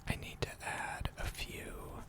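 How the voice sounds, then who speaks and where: Gentle robot mechanic, played by an ASMR performer, whispered, very slow, formal and soothing. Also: close to an everyday voice. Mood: calm, methodical. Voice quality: soft breathy whisper. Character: gentle, attentive robot mechanic tending a salvager robot.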